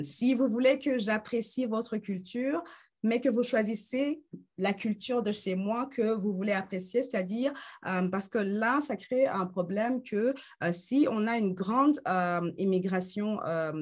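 A woman's voice: medium pitch, darkish tone. A woman in her thirties moderating an online conference discussion, hesitating often with fillers, speaking into a webcam on a video call. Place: indoors in front of a green screen.

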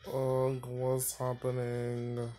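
A man's voice: mid-range voice, monotone, very slow, dark tone. A man giving casual let's-play commentary, thinking aloud, muttering and sighing as he plays. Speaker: gaming channel host. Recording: faint game music behind.

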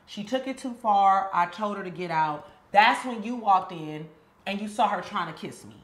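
A woman's voice: medium pitch, lively intonation, fairly bright tone, reverberant, lightly acted. A woman playing a defensive young woman who insists she is innocent, in a comedy skit. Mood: pleading. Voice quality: quick, emphatic, slangy delivery. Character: defensive young woman accused by her best friend.

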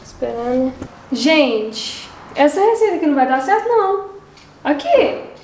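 A woman's voice: high-pitched, very animated, measured pace, a little mellow, echoey room, a little hiss or hum. A young woman chatting to viewers in a casual, exasperated way as she cooks in her home kitchen. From Brazil. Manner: exasperated, chatty, talks to viewers while cooking. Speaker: channel host. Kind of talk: casual cooking vlog chat.

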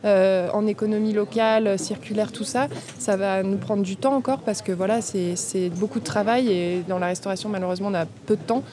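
A woman's voice: medium pitch, steady tone, fairly quick, few pauses, noisy background. A young woman, co-manager of a restaurant, talking in a casual radio interview on an outdoor terrace, with many fillers and restarts. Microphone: table mic on stand.

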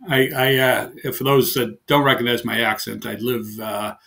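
An older man with a heavy Boston accent, a guest engineer talking in a casual interview, halting with many fillers and restarts. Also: medium pitch, fairly even intonation, vocal fry, fairly bright tone. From New England, US.

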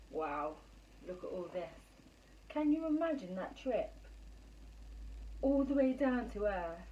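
Animated woman reading stories to children. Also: on the high side, dull audio. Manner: animated, exclaiming, asking rhetorical questions. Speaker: primary school bedtime story reader. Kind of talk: expressive picture-book reading for children. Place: small room at home. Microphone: camera a metre or two away. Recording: slightly distant phone camera recording.

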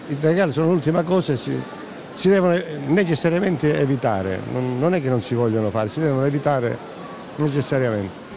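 A man's voice: medium pitch, brisk. Guy interviewed in a mall, emphatic, repeats himself, mid voice, lots of background noise. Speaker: shopper being interviewed. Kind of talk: short casual interview answers. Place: indoor shopping mall. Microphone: interviewer's handheld microphone. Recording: noisy shopping mall ambience.

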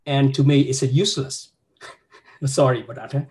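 A man's voice: medium pitch, steady tone, moderate pace, darkish tone. Frank Vietnamese-accented man on a video call. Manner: frank, chuckling, tag questions like "huh?". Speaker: guest instructor. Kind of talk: casual video call chat. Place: small home office indoors. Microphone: laptop webcam mic on video call.

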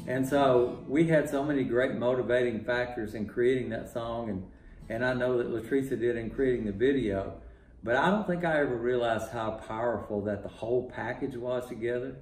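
Older texas guy, music producer, lots of uh and um, laid back, roomy home studio sound. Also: medium pitch. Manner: relaxed, rambling with many fillers. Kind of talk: casual interview talk.

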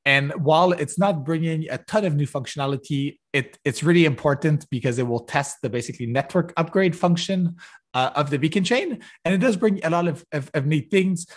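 A man giving a technical keynote over a video call, halting with many fillers and restarts. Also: on the high side. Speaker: conference keynote speaker. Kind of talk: technical conference talk. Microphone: video call webcam.

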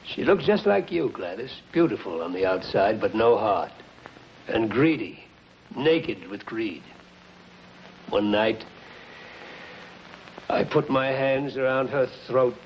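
A middle-aged actor playing a bitter, brooding, worn-out disgraced surgeon in a 1950s television crime drama. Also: male voice, mid-range voice, expressive, takes it slowly, long pauses. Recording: muffled, hissy 1950s TV soundtrack.

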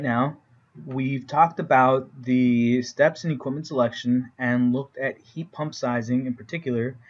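A man's voice: mid-range voice, muffled recording. An American man giving an explanatory slide presentation as an instructor, in plain, informal phrasing. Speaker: instructor presenting training for code officials. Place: voice-over recorded for a slide presentation.

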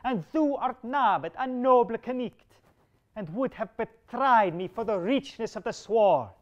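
A man's voice: high voice, slow pace, a little mellow, highs cut off. A man reading as a grave, commanding old king. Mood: stern. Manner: solemn, archaic speech, sharpening to stern commands. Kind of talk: reading a medieval tale aloud.

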